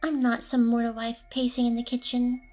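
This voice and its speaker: A woman's voice: on the high side, level tone, a little fast, mellow, faint music behind, close to an everyday voice. A woman playing an ancient, smug, seductive demon boss in an ASMR roleplay, with an intimate, teasing delivery. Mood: jealous, cold.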